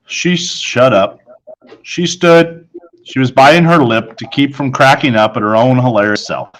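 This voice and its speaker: A man narrates a children's book out loud. His voice is mid-pitched, and he tells the story in the first person as a storybook reader. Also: a little slow, low-quality recording. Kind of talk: read-aloud story narration.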